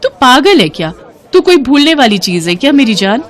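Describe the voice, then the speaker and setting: A woman's voice: high-pitched, very animated, brisk, some chatter behind, pitched above the performer's own voice. A woman voicing a cheerful, teasing and caring young woman in a cartoon story, chatty and affectionate. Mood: playful affection. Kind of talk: Hindi cartoon story dialogue.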